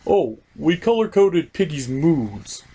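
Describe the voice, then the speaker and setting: A man's voice: a little high, very animated, slow pace, warm tone, breathy edge, exaggerated voice. A man voicing a meek, flustered, self-deprecating frog puppet in a comedy review show. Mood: meek, resigned.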